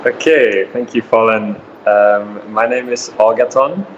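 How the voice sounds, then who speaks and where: A man's voice: on the low side, unhurried, dull audio, a little hiss or hum. A man of twenty-one from Sweden, answering interview questions in a hesitant, thoughtful way. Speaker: interview guest.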